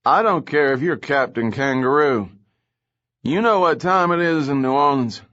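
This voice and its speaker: A man's voice: medium pitch, lively intonation, a little slow, fairly bright tone, pitched above the performer's own voice. A man reading an audiobook, voicing an irritable, sleepy male police lieutenant with a slurred, grumbling manner. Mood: irritated. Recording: slightly dull lo-fi audio.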